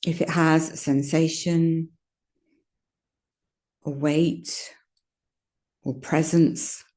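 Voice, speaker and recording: Low, mellow-voiced woman guiding meditation. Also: level tone. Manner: soothing, gentle, unhurried instructions with pauses. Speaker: yoga nidra meditation guide. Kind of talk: guided meditation.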